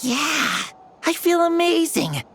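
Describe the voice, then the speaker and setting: A woman's voice: extremely high pitch, big pitch swings, slow pace, a bit bright, faint music behind, heavily acted. A woman voicing a frail, earnest, wide-eyed young child in a video game, hesitant and full of wonder. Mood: earnest excitement. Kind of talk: animated video game voice acting.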